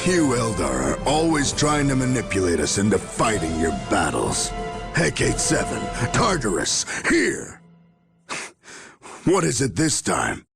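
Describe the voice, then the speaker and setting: A proud, gruff military general in a dramatic game cutscene. His pitch is mid-range but swings very widely through formal, clipped, suspicious speech, with music underneath. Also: huge pitch swings, unhurried, heavily acted. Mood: suspicious, commanding. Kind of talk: dramatic video game voice acting.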